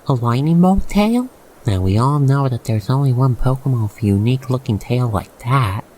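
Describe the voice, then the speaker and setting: A man's voice: medium pitch, animated, normal speed, darkish tone, a little hiss or hum, low-quality recording. A man narrating an ASMR roleplay, telling the story theatrically and speaking directly to the listener.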